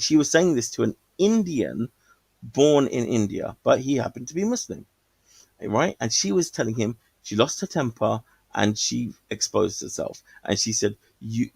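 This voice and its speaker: A man's voice: mid-range voice, expressive, unhurried, gravelly, a little mellow, slightly rough, no echo. A man calling in to a stream, talking casually and rambling with many fillers and restarts. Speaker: caller. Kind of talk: casual call-in chat.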